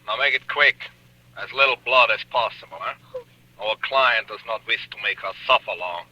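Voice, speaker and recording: An actor playing a cold-blooded, methodical murder plotter in a 1940s radio drama, giving instructions in a deliberate, businesslike way. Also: male voice, medium pitch, sharp tone. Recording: muffled old radio telephone scene.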